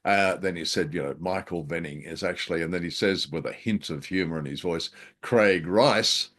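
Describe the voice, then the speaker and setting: A man's voice: deep voice, normal speed, a bit bright. A man co-hosting a podcast, chatting casually about an old radio drama, hesitant with many ums and a dry, self-mocking humor. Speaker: podcast co-host.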